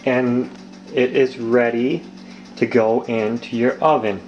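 A man's voice: medium pitch, fairly even intonation, slow pace, lo-fi sound. A young man casually talking through a home recipe in his kitchen, rambling with lots of ums, recorded on a handheld camera. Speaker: home cooking vlogger. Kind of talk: casual cooking demonstration. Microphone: handheld camera mic. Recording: echoey kitchen, faint noise.

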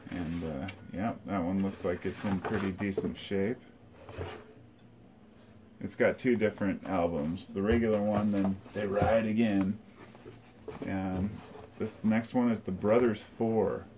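A man's voice: lowish voice, steady tone, measured pace, background noise. A middle-aged man sits in his living room and talks casually through his box of old tapes. He thinks aloud and rambles, with many fillers. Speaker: collector showing his tape reels. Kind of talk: casual show-and-tell. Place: living room by a record shelf, indoors.

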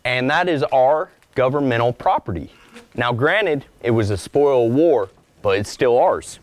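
A man in his forties gives a relaxed lunchtime lecture, his voice sitting at an everyday pitch. He talks in a chatty, self-deprecating way and slips into little acted-out asides. The sound is dry and close, with hardly any room echo. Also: unhurried. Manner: conversational, acts out little quoted asides; calls his own voice monotone. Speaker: archivist giving a lecture. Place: mid-size lecture room, indoors.

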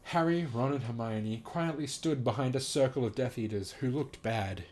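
A man's voice: mid-range voice, normal speed, a little mellow, slight background noise. A man reading a story aloud casually with amused, incredulous commentary, often laughing and swearing as he goes. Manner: giggly, laughs through his reading.

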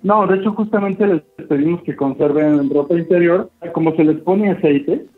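Young Mexican male receptionist: husky, slightly high voice, polite and reassuring, dull phone line. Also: normal speed. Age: young adult. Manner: courteous, reassuring. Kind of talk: polite customer service phone talk. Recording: muffled phone line, faint music.

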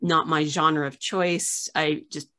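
A woman's voice: low-pitched, flat delivery, slow pace, sharp tone. A young woman hosting a video call interview, chatty, laughing, with lots of fillers. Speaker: host, archivist. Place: home room indoors.

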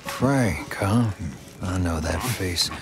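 An actor playing a shady, cool-headed Old West hired gun with a dry, measured manner in a video game. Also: male voice, sits low, noisy background. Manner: dry, measured, smugly assured. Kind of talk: video game cutscene acting.

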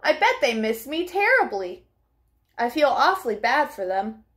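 A young woman reading a children's storybook aloud in an expressive, deliberate, child-directed way. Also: a little high. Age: young adult. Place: small furnished living room at home.

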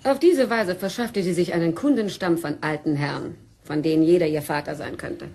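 A worldly woman in a radio play acted by a woman, with a slightly low voice. She talks in a flippant, cool way and teases as she goes. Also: normal speed, darkish tone, close to an everyday voice. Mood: mocking, amused. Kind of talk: radio drama acting.

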